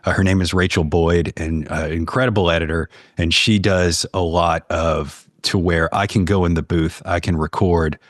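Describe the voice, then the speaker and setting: Low-voiced man in a dry, close recording. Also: steady tone, moderate pace, hardly any pauses, dry recording. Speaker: podcast guest, audio producer and narrator. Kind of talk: casual interview talk.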